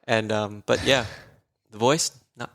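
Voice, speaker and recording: A man's voice: fairly low pitch, steady tone, slow pace, vocal fry, fairly bright tone. A man, a voice therapist talking as a guest in a casual podcast conversation, enthusiastic and chatty with frequent laughs.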